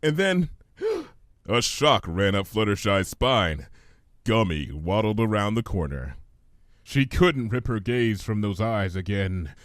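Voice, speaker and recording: A man reading a story aloud as an expressive narrator. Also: on the low side, takes it slowly, no room sound, clean studio sound. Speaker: story reader. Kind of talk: expressive scripted narration of fan fiction.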